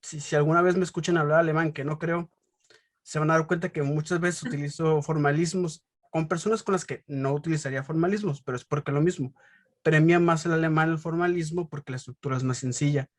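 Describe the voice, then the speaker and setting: Man, Mexican accent, interviewer, fairly high voice, casual, halting explanations, repeated words. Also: fairly even intonation.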